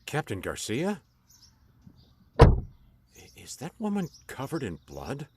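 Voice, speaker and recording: A man's voice: mid-range voice, huge pitch swings, slow pace, very noisy. An actor playing a respectful, uneasy male police officer in an audio drama.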